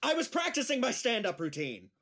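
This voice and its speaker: Man acting as flustered, husky-voiced gym teacher. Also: extremely high pitch, huge pitch swings, brisk, sharp tone, heavily acted. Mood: flustered, embarrassed. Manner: flustered, hesitant, over-explaining. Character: awkward, embarrassed male high school gym teacher, amateur comedian. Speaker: actor in audio drama. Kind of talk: naturalistic audio drama acting.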